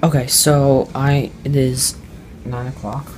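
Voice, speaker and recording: A man's voice: medium pitch, level tone, slow pace, a little mellow, a little hiss or hum. Rambling teenage boy vlogging at home. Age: teens. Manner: rambling, many ums and uhs, offhand. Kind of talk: casual vlog talk to camera.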